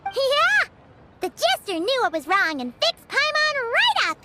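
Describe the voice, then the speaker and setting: A woman voicing a tiny, excitable, greedy fairy companion in an animated game, exclaiming and talking about herself by name. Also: very high voice, a little slow, heavy background noise, full character voice. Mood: excited. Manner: refers to self in third person, exclamatory. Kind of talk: animated game cutscene acting.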